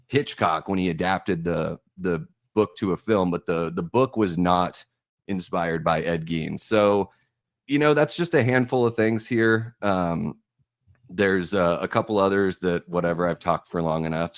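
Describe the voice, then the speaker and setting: A young American man speaks as a podcast host in a fairly low voice with a very dry sound. He talks informally and thoughtfully, often feeling his way through a point as he shares opinions and notes. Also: no echo. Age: young adult.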